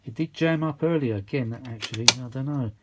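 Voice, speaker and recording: A man's voice: mid-range voice, fairly quick, heavy background noise. A man giving casual, unscripted workshop chat as a repair vlogger, rambling along with a mildly weary grumble. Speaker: vintage audio repair vlogger.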